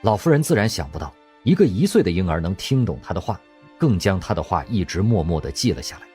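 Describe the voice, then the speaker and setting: A man narrating a radio drama in scripted storytelling style, expressive and lively in delivery. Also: deep voice, quick pace, faint music behind.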